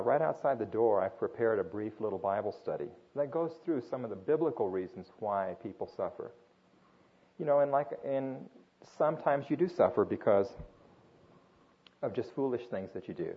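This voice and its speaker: An older man who grew up in Japan, speaking earnestly at a church gathering in an informal sermon with frequent restarts. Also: lowish voice. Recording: dull lo-fi recording.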